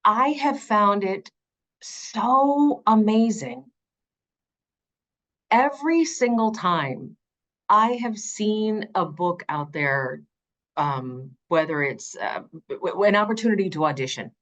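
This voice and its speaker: A middle-aged woman speaking conversationally in an interview as an audiobook narrator, with earnest, expressive and emphatic phrasing. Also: mid-range voice, slow.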